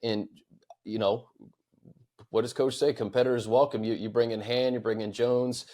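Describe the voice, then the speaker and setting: A man's voice: mid-range voice, steady tone, moderate pace, dark tone. A man hosting a casual sports talk show, reading out fan questions and chatting about them.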